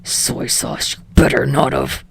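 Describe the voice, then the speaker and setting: A woman voicing a gruff, sneering young man with a low growl and a curt, scornful manner. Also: unhurried, no room sound, lightly acted. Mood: scornful, irritated. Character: gruff, sneering, foul-mouthed young man, a surly actor.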